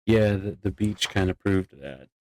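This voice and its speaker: Man, low register, playful casual gamer talk among friends. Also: measured pace, a little mellow, rough recording. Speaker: player in a Minecraft roleplay group. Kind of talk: casual gaming chat.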